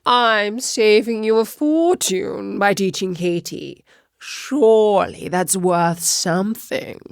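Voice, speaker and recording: A pompous talking tomcat from a children's storybook, performed by a woman. He is vain and forever aggrieved, stretching out his words in a slow, theatrical drawl. The sound is close and dry. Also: on the high side, sing-song, a bit bright, no room sound, heavily acted. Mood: cheeky, badgering. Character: grumbling, vain, indignant male talking cat tutor.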